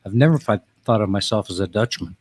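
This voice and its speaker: A man's voice: lowish voice, fast talker, a little mellow, no echo. A man born in the Netherlands who joins the casual chat with dry, wry humor.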